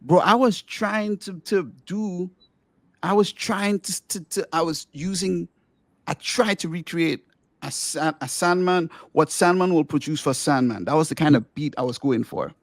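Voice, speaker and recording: A man's voice: unhurried, dry recording. Eager middle-aged man, dry close sound. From Grenada. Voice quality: light voice he calls squeaky. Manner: enthusiastic, rambling, many restarts. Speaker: podcast host and musician. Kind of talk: casual podcast interview.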